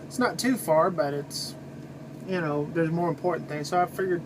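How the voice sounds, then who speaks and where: A middle-aged American man, a truck driver, rambling casually in a halting, conversational way to his vlog. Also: on the high side, steady tone, background noise.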